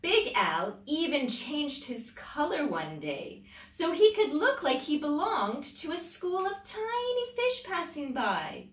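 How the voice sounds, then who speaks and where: Female storytime reader, dramatic and highly expressive, unhurried delivery, recorded at a distance in a roomy space. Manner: dramatic, emphatic storytelling with drawn-out words. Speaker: children's storytime host, teacher. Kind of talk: expressive children's picture book read-aloud. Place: small indoor library room with tiled floor. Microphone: camera a few metres away. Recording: roomy echo, distant microphone.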